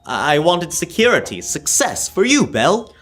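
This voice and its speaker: A man's voice: on the high side, big pitch swings, moderate pace, fairly bright tone, breathy edge, full character voice. A young man playing an anguished, pleading miser in an old-time radio drama. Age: teens.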